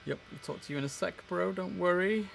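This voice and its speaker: A man's voice: high voice, a little fast, vocal fry. A man live streaming as an air traffic controller, mixing chatty muttered asides with clipped, formal radio-style instructions.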